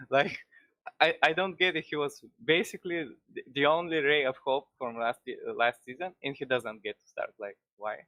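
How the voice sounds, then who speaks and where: A man calls in to a stream, his English halting and full of restarts. His voice is bright and slightly tense, heard dry and close. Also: medium pitch, fairly even intonation, no echo, poor audio. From Bulgaria. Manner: halting, restarts often, laughs easily. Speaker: caller. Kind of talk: casual chat.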